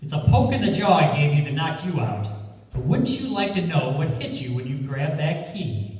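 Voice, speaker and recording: Wisecracking amateur sleuth, played by a man, slightly high voice, breezy and sardonic, radio play in a very echoey hall. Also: sing-song, moderate pace, a little mellow. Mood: smug, breezy. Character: cocky wisecracking male amateur detective. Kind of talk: old-time radio detective drama acting. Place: college stage radio theatre performance. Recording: echoey hall from far off, faint noise.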